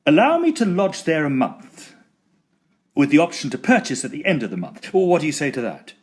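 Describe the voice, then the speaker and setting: Guy playing an eager edwardian ghost hunter, kinda high voice, swoops about, formal and theatrical, radio play style. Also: big pitch swings, brisk, husky. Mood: brisk, curious. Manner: theatrical, formal period diction. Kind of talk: audio drama narration and dialogue.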